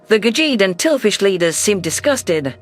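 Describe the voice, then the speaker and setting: Fairly high-voiced male narrator. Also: normal speed, soft background music. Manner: even storytelling delivery. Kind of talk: scripted audiobook narration.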